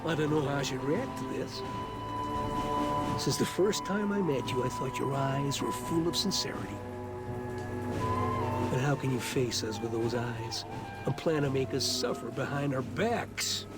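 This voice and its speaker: A man's voice: mid-range voice, a little slow, long pauses, loud music behind the voice, close to an everyday voice. A man playing a hurt, earnest comrade in a video game cutscene, pleading and reproachful.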